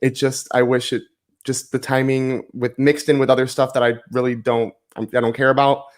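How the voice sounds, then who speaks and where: A man's voice: medium pitch, little pitch movement, normal speed. A man of Cuban descent from South Florida, chatting casually and giving frank, opinionated takes on food. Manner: frank, opinionated, self-deprecating. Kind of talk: casual panel chat.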